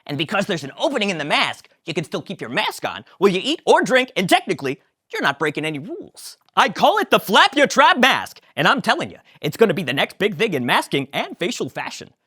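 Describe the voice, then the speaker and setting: A woman voicing an eccentric, excitable male neighbor who pitches schemes at speed. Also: breathy edge, exaggerated voice. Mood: excited. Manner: rapid, excitable salesman pitch. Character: fast-talking eccentric man, scheming neighbor.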